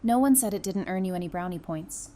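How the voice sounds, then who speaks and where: A woman acting a frank, dry-witted guard with a quietly caring side in an audio drama, speaking directly. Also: lowish voice, animated, brisk, lightly acted. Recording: faint background noise.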